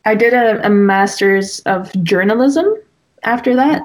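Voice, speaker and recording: A woman's voice: fairly high pitch, measured pace. A young woman guest talking casually about her work as a wedding photographer, with lots of fillers and restarts. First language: Dutch. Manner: talkative, full of fillers and restarts. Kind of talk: casual interview conversation.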